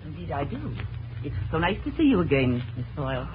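An actress playing a genteel, middle-aged upper-class mother in a 1940s radio drama, polite, measured and condescending. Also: deep voice, sing-song, a crowd in the background. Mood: politely reserved. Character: genteel, gracious but reserved upper-class society mother. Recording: tinny, noisy old radio broadcast, distant.

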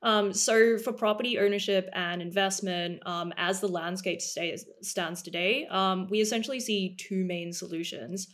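A woman in her thirties from Australia, a startup co-founder explaining her business in a podcast interview, polished and measured with frequent "um"s. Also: medium pitch, steady tone, a bit bright. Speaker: guest, startup co-founder and CEO.

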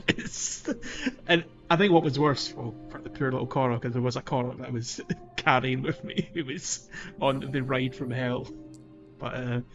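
A man's voice: mid-range voice, fairly even intonation, moderate pace, lo-fi sound, over music. A man co-hosting a casual video game podcast, rambling along and chuckling through his anecdotes. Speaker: podcast co-host.

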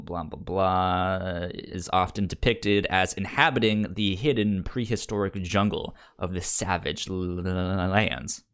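A podcast co-host chatting casually about comics, with a stammer that often repeats sounds and words. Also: deep voice.

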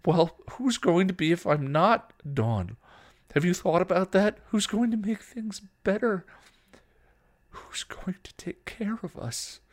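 A man's voice: high voice, lively intonation, measured pace, pitched above the performer's own voice. A man voicing a young woman, an emotional, protective older sister who scolds, pleads and stammers in a dramatic story reading. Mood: anguished, breaking down.

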